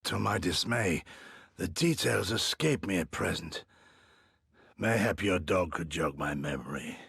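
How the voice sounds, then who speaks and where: A man playing a proud, stoic older samurai in a video game, speaking formally and with measured defiance in a gravelly, husky voice. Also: deep voice, animated, a bit bright, exaggerated voice. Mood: dry defiance. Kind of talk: dramatic video game cutscene acting.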